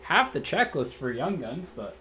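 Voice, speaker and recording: Slightly raspy man calling out cards. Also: a little high, moderate pace, slight background noise. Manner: relaxed, matter-of-fact, thinks aloud. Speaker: card break host. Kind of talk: casual card-opening commentary. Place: indoor room at a card table.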